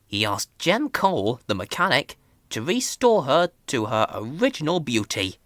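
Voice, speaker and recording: Woman, children's story reader, low-pitched, unhurried, highly animated, dry close sound. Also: no room sound. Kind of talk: scripted children's story narration.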